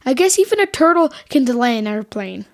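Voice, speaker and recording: A woman's voice: sits high, big pitch swings, fairly quick. A woman co-hosting a scripted educational podcast made for children. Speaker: podcast co-host.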